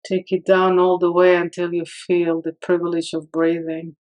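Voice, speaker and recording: A woman leading a guided meditation in a calm, soothing manner, leaving long pauses between short phrases. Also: fairly low pitch, poor audio.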